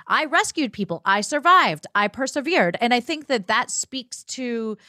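A woman's voice: a little high, lively intonation, a little slow, bright, forceful tone, no echo, clean studio sound. A woman co-hosting a podcast, talking casually in a chatty, explanatory way. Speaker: podcast co-host. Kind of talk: casual conversational podcast talk.

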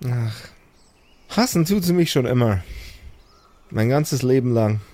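A man voicing a battle-scarred, chain-smoking woman warrior in an improvised audio drama, dry-humored and speaking in halting pauses. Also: mid-range voice, animated, dark tone, dry recording, close to an everyday voice. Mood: dry, wry.

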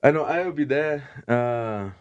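A young man with a Brazilian Portuguese accent, a podcast guest speaking halting English and often restarting words. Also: medium pitch, dry recording, muffled recording. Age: young adult. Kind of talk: casual interview chat.

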